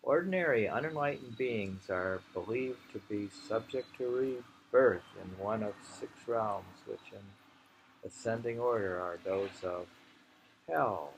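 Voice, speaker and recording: A man reading poetry aloud informally, with musing, halting commentary and frequent pauses, in an amateur recording. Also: lowish voice, gravelly, slight background noise, rough recording.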